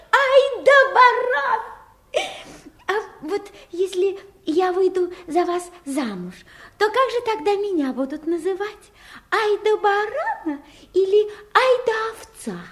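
An actress playing a naive, kind young princess in a fairy-tale audio play, talking in a guileless, childlike way. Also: female voice, very high voice, lively intonation, slow, a bit bright, exaggerated voice. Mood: puzzled, playful. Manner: guileless, childlike, plain-spoken.

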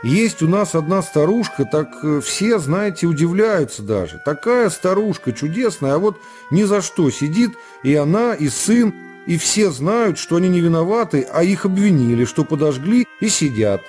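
A man voicing a brisk, tipsy woman prisoner in a radio reading, with a sing-song, ingratiating, flirtatious and pleading manner. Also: a little high, expressive, darkish tone, faint music behind, voice pushed up, close to an everyday voice. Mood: pleading, coaxing. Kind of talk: radio literary reading, acted dialogue.

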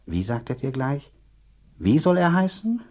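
An actor in a radio play voicing a blunt, talkative rural dean, sceptical and condescending, speaking in a husky, halting, emphatic way. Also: male voice, medium pitch, very animated, dark tone. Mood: incredulous, insistent. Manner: halting, emphatic, repeats himself. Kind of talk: German radio drama acting.

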